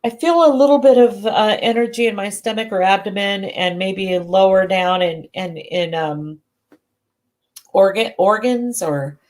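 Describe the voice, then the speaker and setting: A woman's voice: medium pitch, darkish tone. Congested woman doing live psychic readings. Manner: halting, frequent restarts and self-corrections. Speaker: psychic medium. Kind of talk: live-stream readings, casual chat.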